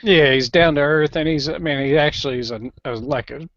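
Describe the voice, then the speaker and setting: A man hosting a casual online voice chat, talking in a relaxed, agreeable way. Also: fairly high pitch, a little fast, dry recording. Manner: relaxed, agreeable, laid-back. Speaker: chat host. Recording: dry dull lo-fi voice chat audio.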